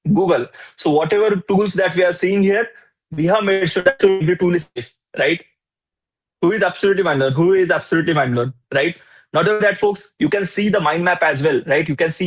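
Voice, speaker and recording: Husky, excitable Indian man teaching a workshop. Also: fairly high pitch, lo-fi sound. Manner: repeats rhetorical questions, "right?" and "folks". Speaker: AI tools workshop instructor. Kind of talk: energetic live workshop teaching.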